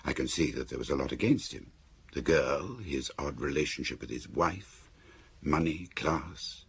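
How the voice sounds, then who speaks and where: A shrewd confidant in a radio play, with an extremely deep, raspy voice. He is probing and considered, weighing each point. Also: lively intonation, measured pace, fairly bright tone, full character voice. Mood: puzzled, questioning. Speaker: actor in radio drama. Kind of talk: radio drama dialogue.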